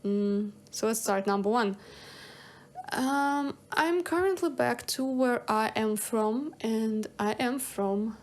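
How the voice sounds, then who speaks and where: A woman's voice: fairly high pitch, slow pace, darkish tone. Hesitant young woman musician rambling. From Kazakhstan. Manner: rambling, hesitant, many fillers and restarts. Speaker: singer-songwriter podcaster. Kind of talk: casual solo monologue.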